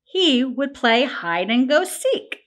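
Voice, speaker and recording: A woman reads a children's storybook aloud. Her voice is fairly high and very animated, and she takes her time, shaping phrases expressively and deliberately. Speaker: storybook reader. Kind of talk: scripted children's story narration.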